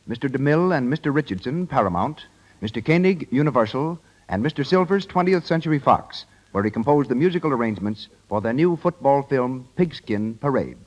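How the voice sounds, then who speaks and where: A man's voice: mid-range voice, highs cut off. A man announcing credits on 1930s radio in a formal, scripted style. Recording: 1930s radio broadcast, faint audience noise.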